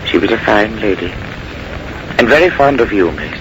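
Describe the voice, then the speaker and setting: An actor playing a kindly, courteous household butler in a 1940s radio mystery, gentle and deferential. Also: male voice, fairly low pitch, animated, moderate pace, natural voice. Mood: welcoming, wistful. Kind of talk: 1940s radio drama acting. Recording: noisy old 1940s radio recording.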